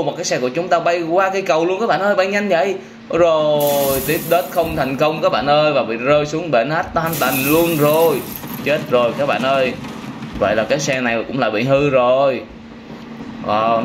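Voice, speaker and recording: A man in his thirties from Vietnam giving excited gameplay commentary for children, exclaiming, repeating phrases and often addressing his viewers. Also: mid-range voice. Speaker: video host playing a vehicle crash game for children. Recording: clearly noisy, game vehicle sounds behind.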